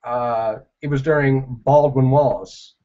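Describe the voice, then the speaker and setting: Slow, rambling young man on a stream. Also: medium pitch, fairly even intonation, dark tone. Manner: rambling, halting, with many fillers and restarts. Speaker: livestreamer. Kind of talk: casual livestream chat answering viewers. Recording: dull lo-fi livestream audio.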